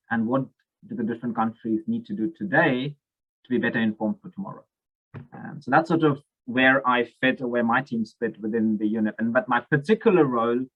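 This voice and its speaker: A man's voice: mid-range voice, phone-quality sound. A man with a South African accent, speaking thoughtfully at a conference over a video call, with many fillers and restarts.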